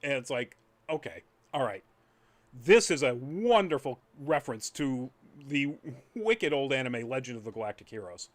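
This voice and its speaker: A man's voice: mid-range voice, expressive, moderate pace. Rambling man chatting casually. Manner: rambling, trailing off into asides. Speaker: podcast host reviewing anime. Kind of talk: casual chat review.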